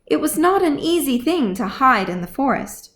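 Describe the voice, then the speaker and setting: A woman's voice: high-pitched, big pitch swings, moderate pace, fairly bright tone. A woman reading scripted fantasy fiction as audiobook narration, as the author of the story.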